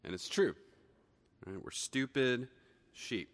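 Man, preacher, slightly hoarse from a cold, mid pitch, earnest sermon delivery, reverberant church hall. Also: animated, takes it slowly. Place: church sanctuary.

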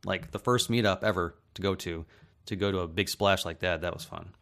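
A man's voice: low voice, a little fast, gravelly, a bit bright. A man appearing as a podcast guest, chatting eagerly and packing his speech with "like" and "uh". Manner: enthusiastic, heavy use of "like" and "uh". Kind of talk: casual podcast chat.

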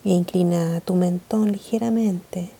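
A woman leading a guided meditation in a calm, gentle, measured way of speaking. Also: fairly low pitch, gravelly, breathy edge.

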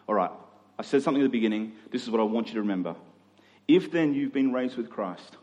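A man's voice: medium pitch, a little mellow. A man preaching a sermon, reading scripture and urging listeners in a conversational way with repeated phrases. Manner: conversational, exhortative, rhetorical repetition.

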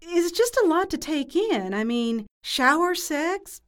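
Flustered prim woman, easily shocked, very high swooping voice, audio drama. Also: very animated, slow pace, exaggerated voice.

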